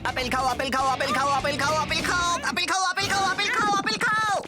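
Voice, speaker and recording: A woman's voice: very high voice, expressive, quick pace. A woman voicing a bullying living apple. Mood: chanting, bullying. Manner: chanting, bullying, repetitive. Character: pushy living apple that chants and forces itself on people. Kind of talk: Bengali cartoon dub. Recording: loud cartoon music underneath.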